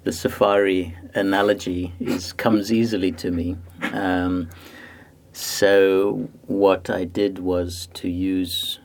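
A low-pitched man from Zimbabwe answers interview questions in a steady, level voice with hardly any rise or fall. His tone is gravelly and a little husky, and he explains his points slowly, with pauses and fillers as he goes. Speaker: guest author.

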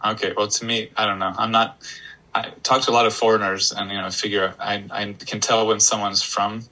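A man's voice: lowish voice, little pitch movement, a little fast, bright, forceful tone, low-quality recording. A man from Florida hosting an interview, chatty and enthusiastic in a casual conversation.

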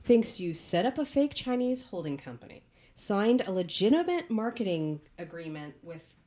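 A woman playing a frank, skeptical doctor in a comedy radio play, speaking in a deliberate, incredulous way. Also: mid-range voice, big pitch swings, warm tone. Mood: baffled. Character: frank, skeptical female doctor, wearily scolding her patient.

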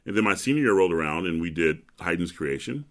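A middle-aged opera bass singer, a man with a deep, resonant speaking voice, chatting easily as an interview guest and telling stories with ready laughs. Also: expressive, quick pace, fairly bright tone. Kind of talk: relaxed interview chat.

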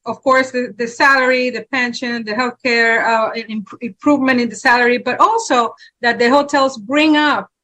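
Higher-voiced woman interpreting at a briefing. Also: steady tone, moderate pace, hardly any pauses, sharp tone. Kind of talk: live interpreting and moderating.

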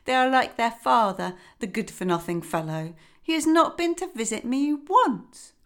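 A woman voicing a fretful, fussy mother duck, higher and slower than her narration. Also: very animated, a bit bright. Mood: weary, fretful. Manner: fussing, scolding, proud.

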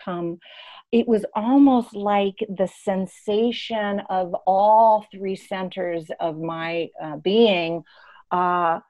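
A woman in her fifties from East Africa, an interview guest telling reflective personal stories in an expressive, emphatic way. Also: medium pitch, drawn-out words. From born and raised in East Africa.

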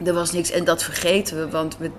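A woman's voice: fairly low pitch, little pitch movement, fairly quick. A woman speaking reflectively in an interview in a café, thoughtful and searching for words. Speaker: radio drama writer and director, interviewee. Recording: faint café background noise.

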